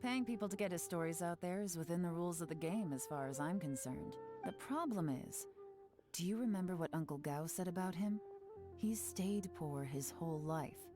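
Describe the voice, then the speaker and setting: A woman voicing a cool, low-voiced investigator. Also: lightly acted. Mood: reasoning. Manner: calm, measured, reasoning things through. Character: cool, sharp-minded female investigator. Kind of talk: game voice acting. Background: game music.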